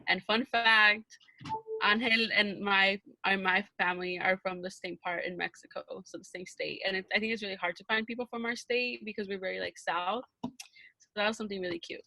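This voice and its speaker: Bright-voiced young woman hosting a podcast. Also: mid-range voice, fairly even intonation, brisk, gravelly, low-quality recording. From Arizona, USA. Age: young adult. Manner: bubbly, laughs often, says um and like. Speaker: podcast host. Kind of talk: casual podcast chat on a video call.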